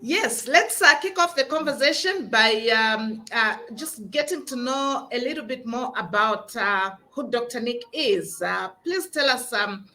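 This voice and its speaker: Bright-voiced woman podcast host. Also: on the high side, expressive, a little slow, few pauses. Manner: hesitant, many fillers and restarts. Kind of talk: interview hosting.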